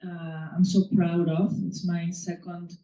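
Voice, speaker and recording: A woman's voice: on the low side, measured pace. Mexican-born woman reading her story aloud. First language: Spanish. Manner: hesitant with many filler words, then steady reading. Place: bookstore or small venue reading. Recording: distant mic, echoey room, dull sound.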